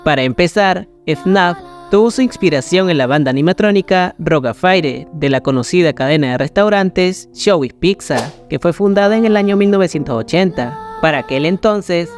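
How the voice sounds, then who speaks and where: A man's voice: high-pitched, animated, moderate pace, few pauses. A man reading a scripted creepypasta narration as a storyteller. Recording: music behind the voice. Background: background music.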